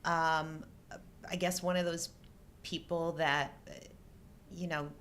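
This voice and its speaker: A woman's voice: low-pitched, flat delivery, slow, a bit bright, slight background noise. A middle-aged woman chatting casually as co-host of a live show. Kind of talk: casual chat.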